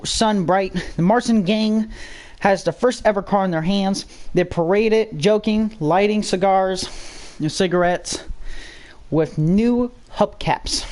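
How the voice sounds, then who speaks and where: A woman's voice: mid-range voice, darkish tone. A woman reading a scripted story aloud as a dramatic narrator.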